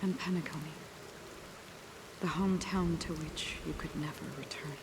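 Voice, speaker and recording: A woman playing a solemn, melancholy wanderer who guides souls toward death, speaking softly in measured, breathy phrases for a video game. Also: deep voice, fairly even intonation, noisy background. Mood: gentle, resolute. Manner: slow, soft, measured, breathy trailing phrases. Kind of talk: dramatic video game voice acting.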